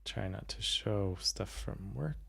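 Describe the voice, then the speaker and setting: A man with a low voice chats casually as a livestream host. He sounds a little raspy and worn from being sick, and he thinks aloud in a hesitant, halting way. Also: a bit bright. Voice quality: slightly raspy. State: voice tired after recent illness. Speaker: streamer host.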